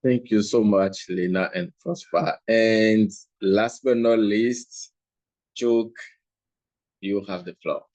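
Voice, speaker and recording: Man, low voice, slow pace, session host, courteous formal remarks, measured phrasing. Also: steady tone, darkish tone, no room sound. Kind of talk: formal closing remarks and thanks.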